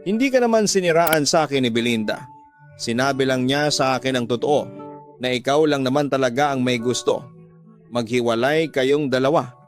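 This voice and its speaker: A man reads the part of a troubled young woman in a radio drama. She questions earnestly and with some reproach, in a mid male pitch, over a music bed. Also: normal speed, close to an everyday voice. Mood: confused, reproachful. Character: young woman confiding, torn by forbidden love, questioning.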